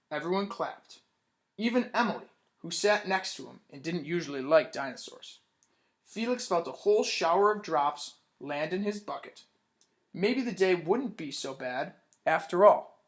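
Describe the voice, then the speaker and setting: A man teaching as he reads a picture book aloud to children, stopping to ask questions and praise their answers. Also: sits high. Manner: stops to quiz listeners and praise their answers. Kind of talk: read-aloud storytime for children.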